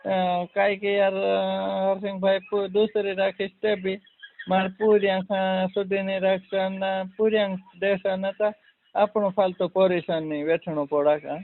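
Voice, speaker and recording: A man with a creaky voice talking casually on a phone call in a rambling, repetitive way. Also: sits high, level tone, normal speed. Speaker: prank call participant. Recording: narrow phone line with faint noise.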